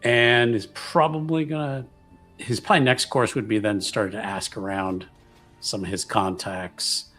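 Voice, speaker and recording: A man's voice: fairly low pitch, steady tone, measured pace, fairly bright tone, soft background music. A man playing a tabletop game, casually improvising what his character does, hesitant as he thinks aloud.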